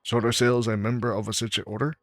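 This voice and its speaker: A man playing through a video game with low-key commentary, haltingly reading dialogue choices aloud. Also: level tone, fairly bright tone, no echo, studio quality. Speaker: gamer recording a playthrough.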